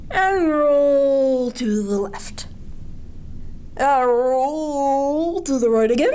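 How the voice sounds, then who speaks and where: High, lilting woman leading children's bedtime yoga. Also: a little mellow. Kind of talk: sing-song picture-book reading and gentle instruction for young children. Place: indoors, small draped space, lying on the floor.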